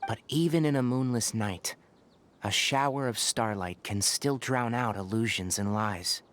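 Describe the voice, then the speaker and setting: A man playing a calm, thoughtful young forest scholar in a video game, speaking in a measured, articulate way. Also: on the low side, slight background noise. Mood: grave, marveling.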